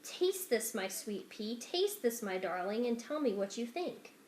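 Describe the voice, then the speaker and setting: A loving storybook queen, read by a woman, speaks tenderly to her child. She is gentle and coaxing, with echo around the voice. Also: a little high, sing-song, measured pace. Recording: roomy indoor echo.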